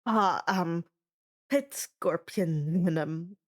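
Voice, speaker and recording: A woman voicing a gruff, jaded man who runs a camp in a story, blunt and weary. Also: lowish voice, extremely slow, pitched below the performer's own voice. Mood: blunt, grim.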